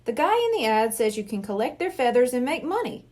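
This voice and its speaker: A woman's voice: fairly high pitch, sing-song, fairly quick, darkish tone. A woman reading a storybook as a stubborn, carefree young boy with an offhand, defensive way of talking.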